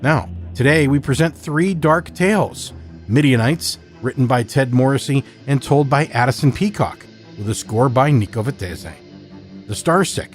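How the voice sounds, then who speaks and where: A man hosting a horror fiction podcast, reading a scripted introduction in a measured, deliberate way. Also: mid-range voice. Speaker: podcast host.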